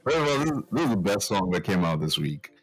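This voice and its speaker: A man's voice: lowish voice, sing-song, quick pace, breathy edge, rough recording. A man co-hosting a casual music review, talking in a slangy, emphatic, informal way. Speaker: guest co-host of music podcast.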